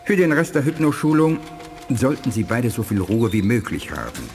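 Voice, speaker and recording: An actor playing a dignified, benevolent alien scientist with a formal manner in a 1980s German radio play. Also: male voice, medium pitch, big pitch swings, moderate pace, darkish tone, faint music behind, close to an everyday voice. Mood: reassuring.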